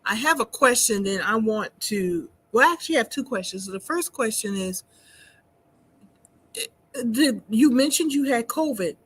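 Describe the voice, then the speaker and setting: A woman hosting a talk show, asking questions in a warm, encouraging manner. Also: a little high, expressive, normal speed, breathy edge, low-quality recording. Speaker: host. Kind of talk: interview conversation.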